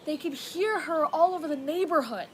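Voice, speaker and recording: A young woman playing a fussy, strict wife and mother in a high school radio drama. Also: normal speed, a little hiss or hum, close to an everyday voice. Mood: stern.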